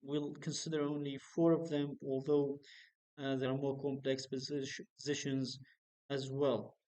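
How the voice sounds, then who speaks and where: A man's voice: medium pitch, flat delivery, measured pace, mellow, rough recording. A man giving an online phonetics lecture, hesitant and full of fillers and restarts. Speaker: university lecturer.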